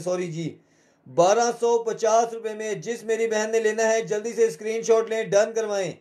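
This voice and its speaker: A man's voice: high voice, a little mellow. A man with a Pakistani accent hosting a live shopping show, selling in a repetitive, urging salesman's manner.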